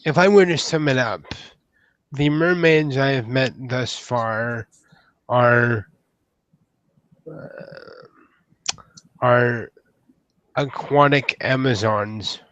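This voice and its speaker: Tabletop game role, man acting young would-be knight, hesitant and courteous, unhurried stammering delivery, dry sound. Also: medium pitch, a bit bright, no room sound, lo-fi sound. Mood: guarded, disapproving. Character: earnest, bashful young male would-be knight named Sam.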